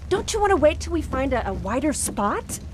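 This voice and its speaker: A woman's voice: high voice, big pitch swings, a little fast. A woman playing an exasperated young wife in an audio drama, with dry, nagging sarcasm. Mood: exasperated, doubtful. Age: young adult. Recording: clear rain and vehicle noise behind. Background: rain and vehicle noise.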